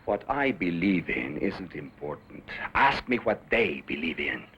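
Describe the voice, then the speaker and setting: An actor playing a defiant, embittered outlaw in a western drama, speaking with impassioned intensity. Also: male voice, low-pitched, big pitch swings, a little slow, dull audio, a little hiss or hum. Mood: bitter, resigned. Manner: impassioned, swinging between muttering and shouting.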